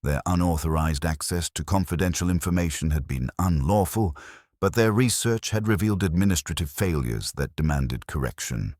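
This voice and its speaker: Very low-pitched man narrating a detective mystery. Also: normal speed, a little mellow, no echo, clean studio sound.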